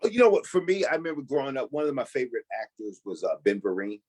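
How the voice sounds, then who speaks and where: Fast-talking, husky man. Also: mid-range voice, very animated, darkish tone. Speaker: stage actor, interview guest. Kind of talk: conversational interview answers.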